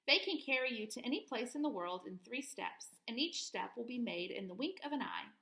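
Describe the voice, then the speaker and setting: A woman voicing a kindly young good witch from a storybook in a gentle, gracious manner. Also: fairly high pitch, lively intonation, a little fast, vocal fry, reverberant, full character voice. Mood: reassuring, wise.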